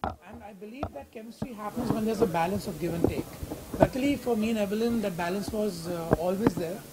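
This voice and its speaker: A man's voice: high voice, fairly quick. A man actor answering press questions about his film, hesitant with many fillers. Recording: loud background noise at a press event.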